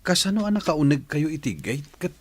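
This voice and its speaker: A man's voice: a little high, sing-song, fairly quick, a little hiss or hum. A man playing a concerned village man in an Ilocano radio drama, an elder brother sheltering his rebel cousin. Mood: surprised, wary.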